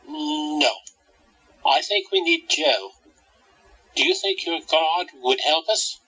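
Radio play accomplice, male actor: highish, very bright edge, unhurried, practical and concerned. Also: lively intonation, husky, lo-fi sound, heavily acted. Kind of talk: audio drama acting.

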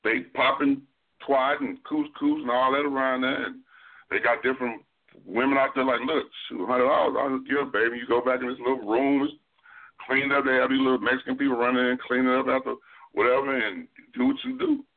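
A man of middle pitch chatting loosely and at ease, letting his story wander. His voice is thin and tinny, as if coming over a phone line. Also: fairly quick, vocal fry. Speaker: podcast co-host. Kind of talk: casual chat.

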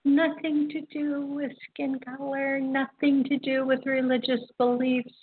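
Slow-speaking older woman, phone-like sound. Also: high-pitched, flat delivery. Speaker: host of an online spiritual talk radio program. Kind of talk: reflective, informal monologue. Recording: dull, phone-like internet radio audio. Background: music.

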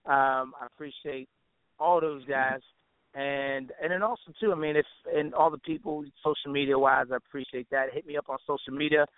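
A man's voice: mid-range voice, fairly even intonation, normal speed, clear, resonant tone, no echo. Easygoing man on a tinny phone line. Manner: easygoing, slangy, appreciative. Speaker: guest, musician and entertainer. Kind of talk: casual interview chat.

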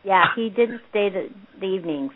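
A woman guest researcher chatting in a relaxed, rambling way, stopping now and then to recall names. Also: medium pitch, normal speed. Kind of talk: casual interview talk. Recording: tinny phone line.

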